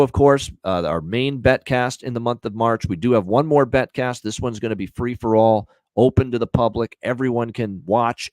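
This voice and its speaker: Casting: male betting-show host, lowish voice, upbeat and repetitive, dry close mic. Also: no echo. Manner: energetic, repeats himself, many "uh" fillers. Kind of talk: solo podcast promo and picks.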